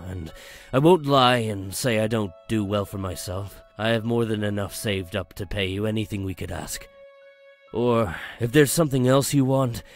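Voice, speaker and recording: A man's voice: fairly low pitch, darkish tone, close to an everyday voice. Man acting an earnest, emotional, grateful father. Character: grateful, earnest middle-aged father of a rescued son. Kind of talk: dramatic visual novel acting.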